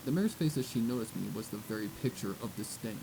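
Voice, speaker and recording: A man's voice: fairly low pitch, animated, quick pace. Man narrating a story over noisy audio.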